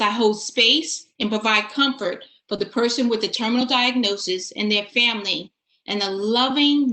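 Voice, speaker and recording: Woman, end-of-life doula, measured, composed, forceful tone, video call. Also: on the high side, reverberant. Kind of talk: prepared panel remarks.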